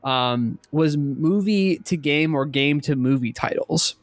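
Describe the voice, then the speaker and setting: A man's voice: on the high side, slow pace, dry recording. A man co-hosting a podcast, chatting casually about games in an informal, conversational way. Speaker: podcast co-host. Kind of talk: casual gaming chat.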